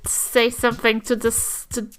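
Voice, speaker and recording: A woman's voice: fairly high pitch, monotone, unhurried, a bit bright, faint music behind. A woman talking casually and improvising as a player in a tabletop role-playing game.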